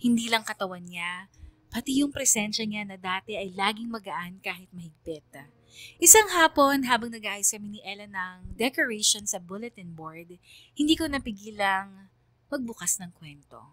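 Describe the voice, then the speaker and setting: A woman's voice: mid-range voice, expressive, normal speed, fairly bright tone, no echo, close to an everyday voice. A woman voicing a caring, observant schoolgirl who tells her story as radio drama narration. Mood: reflective. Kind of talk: first-person storytelling narration.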